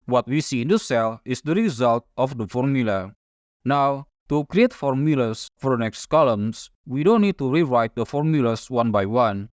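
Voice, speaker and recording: A man whose first language is Indonesian, calmly narrating a step-by-step software tutorial over a screen recording. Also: mid-range voice, normal speed, no echo, studio quality. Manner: calm, methodical step-by-step explaining.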